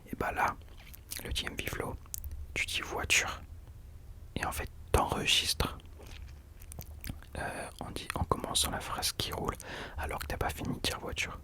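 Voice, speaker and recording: A man hosting ASMR in an unvoiced whisper, chatting informally and hesitantly, correcting himself as he goes. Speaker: ASMR host. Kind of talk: whispered ASMR chat about rappers.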